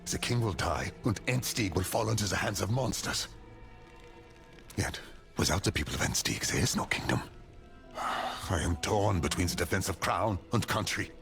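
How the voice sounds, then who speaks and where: Casting: grave, honourable army commander for a fantasy game; very low register, rough gravel, formal urgency. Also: male voice, lively intonation, normal speed, a bit bright. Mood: grave, torn. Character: grave, noble military commander defending a besieged kingdom. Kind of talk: fantasy video game acting. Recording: music behind.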